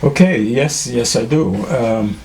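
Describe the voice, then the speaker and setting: An older man from Czechoslovakia, German by first language, speaks to a school class at a mid pitch. He talks haltingly, with many fillers and restarts. A faint hiss sits under the recording. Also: a little mellow, low-quality recording. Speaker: Holocaust survivor speaking to students.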